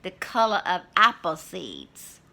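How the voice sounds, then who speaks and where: Frau spielt einen hungrigen, listigen Wolf, lebhaft schwankende Stimme. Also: female voice, lowish voice, wildly swooping pitch, slow, creaky, sharp tone, heavily acted. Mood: restrained, hungry. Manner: dramatic, scheming, wry. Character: hungry sly male wolf telling his own tale. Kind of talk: storybook read-aloud in character.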